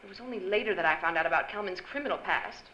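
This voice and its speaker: A woman's voice: mid-range voice, very fast, sharp tone, lightly acted. A woman playing an elegant, confiding rich wife in a radio drama, with poised theatrical delivery. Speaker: actor. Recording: dull noisy live-stage recording.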